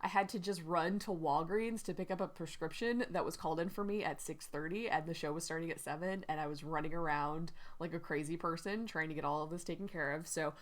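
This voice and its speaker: A woman hosting a casual writing livestream, hoarse and stuffy-nosed from a cold, talking in a chatty, rambling way with many "like"s and "um"s. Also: deep voice, a little fast, hardly any pauses. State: stuffy, runny nose. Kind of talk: casual live-stream talk.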